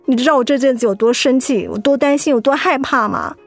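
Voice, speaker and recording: An actress with a Taiwanese accent playing a blunt, softhearted young woman who nags her best friend in a radio drama. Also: sits high, fast talker. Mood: upset, softening. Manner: blunt, nagging, exasperated. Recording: music behind the voice.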